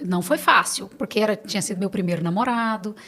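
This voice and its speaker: A woman's voice: mid-range voice, fast, fairly bright tone. A Brazilian woman in her late thirties speaking as a podcast guest, conversational and emphatic, advising listeners directly with reassurance. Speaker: clinic owner advising on supplements. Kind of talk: conversational interview.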